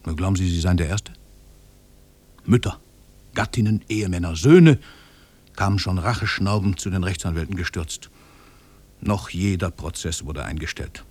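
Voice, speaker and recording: An actor playing an arrogant, cold-blooded famous writer in a radio play, speaking in a pompous, menacing, grandiloquent way. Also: male voice, deep voice, normal speed, long pauses, a little mellow, breathy edge. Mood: cold contempt. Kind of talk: 1950s German radio play acting.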